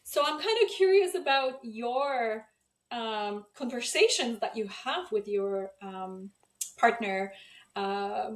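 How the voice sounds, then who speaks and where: A woman's voice: a little high, expressive, takes it slowly, echoey room. A woman originally from Poland hosting a podcast interview, asking long, reflective questions. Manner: frequent listening murmurs, thoughtful questions. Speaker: podcast host.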